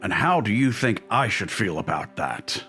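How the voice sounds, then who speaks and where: A man's voice: lowish voice, big pitch swings, moderate pace, sharp tone, faint music behind. A man playing a guarded, principled newspaperman in a comic dub, wry and pointed as he questions people. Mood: wary, probing.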